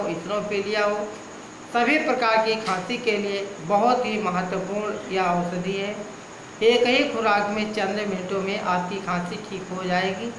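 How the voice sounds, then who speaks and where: Man explaining a home remedy, echoey room. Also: sits high. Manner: repetitive, lists ailments one after another. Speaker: Ayurvedic healer. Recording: echoey room with background noise.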